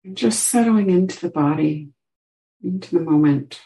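A woman teaching a guided meditation, giving gentle instructions with long pauses between short phrases. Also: lowish voice, low-quality recording.

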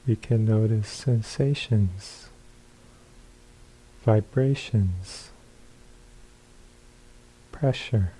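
A man leads a guided meditation in a deeply mellow, relaxed voice that sits a little low. He speaks very slowly and calmly, offering short phrases with long pauses between them, as a teacher gently giving instructions. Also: slightly rough, low-quality recording.

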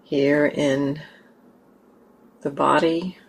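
A woman teaching a guided meditation in a gentle, unhurried way with long pauses. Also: low voice, little pitch movement, vocal fry, slightly rough.

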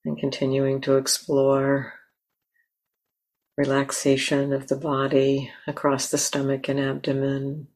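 A woman teaching a guided meditation, speaking gently in short phrases with long pauses between them. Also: a bass voice, monotone.

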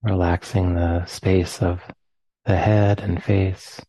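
A man with an American accent, leading a guided meditation in slow, soft phrases with long pauses. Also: low-pitched, level tone, no echo, low-quality recording. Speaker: meditation teacher.

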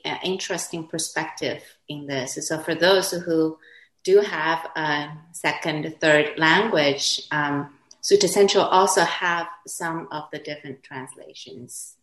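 Class moderator: low-pitched woman, first language Chinese, slow, gentle and hesitant, roomy echo on a video call. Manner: gentle, hesitant, with soft laughs. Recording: echoey room on a video call.

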